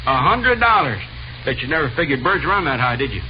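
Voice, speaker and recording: An actor playing a cranky, grumbling pet shop owner in 1950s American radio drama, with folksy, emphatic delivery. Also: male voice, mid-range voice, sing-song, a little fast, natural voice. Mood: indignant. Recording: noisy, muffled old radio recording.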